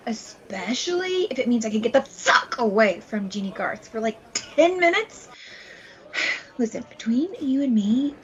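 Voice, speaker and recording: A woman playing a fed-up young TV actress in an audio comedy, breathy and melodramatic, with gushing phrasing and slightly dull sound. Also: on the high side. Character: fed-up, foul-mouthed young TV actress, dramatic and desperate. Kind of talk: comedic audio play acting.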